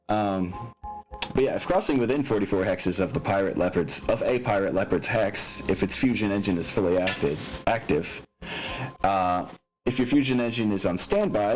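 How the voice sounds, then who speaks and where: Male game master, low voice, casual and hesitant, thinking aloud over background music. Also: a little mellow, low-quality recording. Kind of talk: narrating a tabletop mech game.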